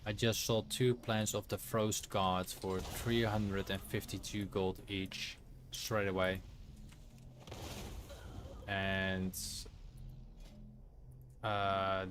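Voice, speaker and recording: A man's voice: low-pitched, a bit bright, a little hiss or hum. A man with a Dutch accent, a native Dutch speaker, giving casual livestream commentary as he plays a game. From Netherlands. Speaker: game streamer.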